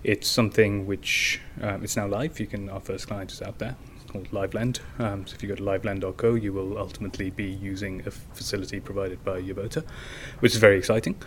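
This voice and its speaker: Even-toned man with a lowish voice. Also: quick pace, few pauses, slight background noise. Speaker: guest, tech company founder. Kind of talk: casual interview explanation.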